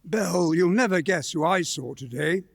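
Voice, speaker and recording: A homely husband, voiced by a male actor, shares gossip conversationally in a radio drama. His voice is fairly high with a marked creak, recorded dry. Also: expressive, moderate pace, no room sound, heavily acted. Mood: chatty. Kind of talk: theatrical radio play acting. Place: live stage performance in a church.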